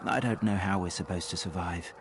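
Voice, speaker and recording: A despairing young soldier in a fantasy game. His low voice is fearful and wistful. There is clear noise behind it. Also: brisk. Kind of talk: scripted game dialogue.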